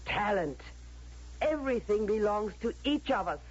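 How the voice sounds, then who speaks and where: An actress playing a stern, coldly persuasive authority figure, lecturing in a deliberate, declamatory way in an old-time radio drama. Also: female voice, medium pitch, lively intonation, a little hiss or hum, lightly acted. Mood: insistent, persuasive. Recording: dull, lo-fi radio drama recording.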